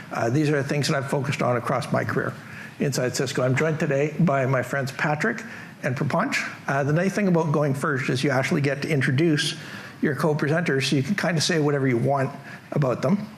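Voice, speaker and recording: Man, Canadian accent, middle-aged, slightly high voice, faint hall noise, casual conference presenter. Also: gravelly. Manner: casual, joking, self-deprecating. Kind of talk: tech conference talk.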